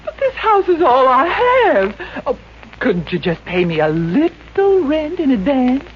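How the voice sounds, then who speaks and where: A fretful, stingy older landlady, plaintive and fussy. Her pitch rises and drops sharply in old radio comedy style. The recording is muffled with faint noise. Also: very animated, unhurried. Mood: pleading, plaintive. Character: fretful, nosy, stingy boarding-house landlady, older woman. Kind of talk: 1950s radio comedy acting.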